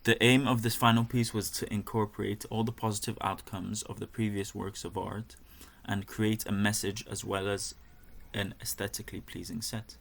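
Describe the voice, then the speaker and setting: A young man with a fairly low voice walks through his art projects like a student presentation. He speaks in a measured, careful way, sometimes pausing on a filler or restarting a phrase. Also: level tone, vocal fry, fairly bright tone. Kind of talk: reading a presentation.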